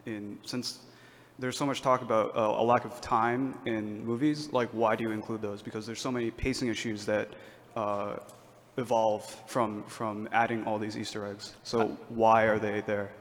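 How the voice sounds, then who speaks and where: A man with a lowish, flat voice haltingly puts a question to a panel. He is in a reverberant hall with faint noise behind him. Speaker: audience member asking a question. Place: convention panel room audience.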